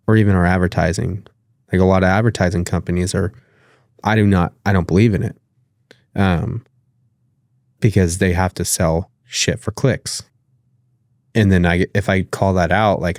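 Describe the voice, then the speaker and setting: A man's voice: low-pitched, darkish tone, no echo, clean studio sound. A man with a nasal voice, talking loosely as a podcast guest, with lots of fillers and casual swearing. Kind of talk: casual interview conversation.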